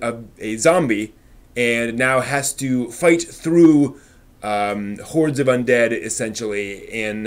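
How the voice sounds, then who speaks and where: A man with a mid-range voice hosts an informal news stream. He presents items like a newsreader but in a loose, conversational way, at times hesitating as he goes. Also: medium pitch. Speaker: host of an anime news live stream.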